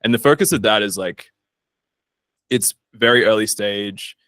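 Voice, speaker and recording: A man's voice: fairly low pitch, unhurried, fairly bright tone, dry recording. A man chatting casually as an interview guest, informal and self-deprecating, recorded in a studio. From Australia. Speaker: guest, startup founder and investor.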